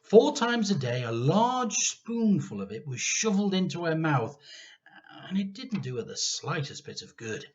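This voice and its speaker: A man reading a children's story aloud expressively as a teacher, with dramatic emphasis and deliberate pauses. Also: a little high, sing-song, lo-fi sound.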